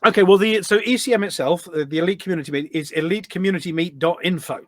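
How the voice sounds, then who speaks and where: British guy on a podcast, fairly high voice, dry close sound, chatty and self-deprecating. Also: fast, no room sound. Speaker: podcast guest, community event organiser. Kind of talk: casual chat. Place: office.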